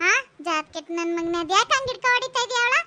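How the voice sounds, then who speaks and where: A woman's voice: very high voice, steady tone, fairly quick, very noisy. A woman playing a bossy, loyal village neighbour in a rural melodrama, full of exclamations and scolding. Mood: indignant.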